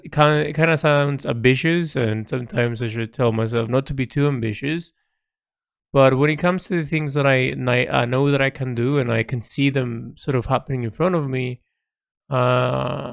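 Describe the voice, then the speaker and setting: Gravelly-voiced man thinking aloud. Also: mid-range voice, no echo, studio quality. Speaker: illustrator keeping an audio log. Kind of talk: reflective unscripted audio diary.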